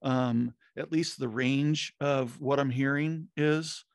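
A man's voice: mid-range voice, fairly even intonation, slow pace, dry recording. A man in his fifties co-hosting a podcast from a home music studio, chatting casually about audio tools with lots of fillers and restarts. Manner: rambling, many fillers and restarts. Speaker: podcast co-host. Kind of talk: casual technical chat. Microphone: studio mic with headphones, video call.

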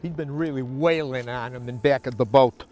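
A man's voice: mid-range voice, normal speed, darkish tone, a little hiss or hum. An older man chatting casually and colloquially as a fishing show host, outdoors on a boat. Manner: folksy, colloquial. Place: outdoors on a boat on open lake. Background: faint music.

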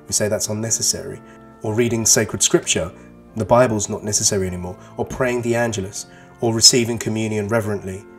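A man's voice: on the low side, a little mellow. A man in his thirties from East London, whose first language is Arabic, giving an earnest, persuasive lesson to pupils with emphatic repetition. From England. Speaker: teacher. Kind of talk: voice-over religious lesson for pupils. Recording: voice-over with faint guitar music.

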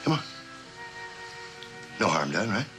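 An actor playing a slick, persuasive American spy who talks insistently in an old suspense drama. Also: male voice, low voice, sing-song. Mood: placating. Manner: insistent, fast-talking persuasion. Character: slick persuasive male spy pressuring a scientist. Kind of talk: mid-century American suspense drama acting. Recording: old broadcast audio with music behind.

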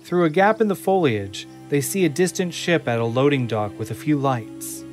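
A man narrating an audio drama from a script, in measured, descriptive storytelling. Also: on the high side, animated. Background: faint music.

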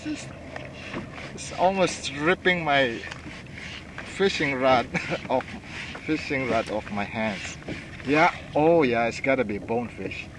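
A middle-aged man filming himself from a kayak on open water, calling out short excited reactions with whoops and laughter. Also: medium pitch, slow, long pauses. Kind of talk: live reactions while fishing. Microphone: action camera mounted on kayak. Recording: wind and water noise.

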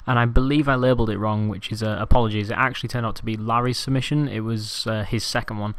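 A man's voice: fairly low pitch, fairly even intonation, quick pace, no room sound. A man hosting a beatbox channel, giving a casual, rambling and apologetic video intro.

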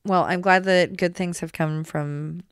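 Female co-host with a low voice, conversational podcast reactions, dry studio-tight recording. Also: fairly even intonation, measured pace, clean tone, no room sound. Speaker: true crime podcast co-host. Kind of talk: casual chat, reacting to a story.

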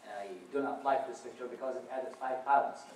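A man's voice: medium pitch, level tone, fairly quick, heavy background noise. A young man from Iraq with an Arabic accent, telling his life story in a church talk with wry, dry humor. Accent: Iraqi Arabic. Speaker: guest speaker. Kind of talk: personal testimony talk. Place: church auditorium. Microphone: far camera across the room. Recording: roomy echo from far off.

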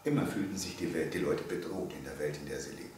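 A man's voice: extremely low pitch, darkish tone. Older man lecturing in a very echoey room. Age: early 70s. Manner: emphatic, deliberate, with pauses for emphasis. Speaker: lecturer, theology professor. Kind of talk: public lecture with rhetorical questions to listeners. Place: indoor seminar room. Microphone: microphone on a stand. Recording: very echoey room, distant, faint noise.

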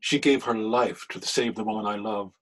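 Actor as husky, solemn older husband. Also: male voice, fairly low pitch. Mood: gentle, forgiving. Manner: solemn, measured, gentle. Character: forgiving, devout older husband, gentle, solemn. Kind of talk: period radio drama acting. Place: room at home, video call.

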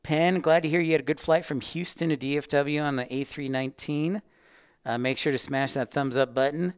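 A man's voice: fairly high pitch, fairly even intonation, a little fast, clean tone, dry recording, clean studio sound. A man hosting a casual educational livestream, chatty and rambling as he talks directly to his viewers. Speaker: livestream host, aviation educator.

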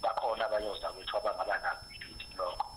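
A man's voice: lowish voice, steady tone, rapid-fire, crowd noise. A South African man talking casually over the telephone. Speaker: musician taking a phone call. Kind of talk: casual phone conversation. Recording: noisy, humming phone line.

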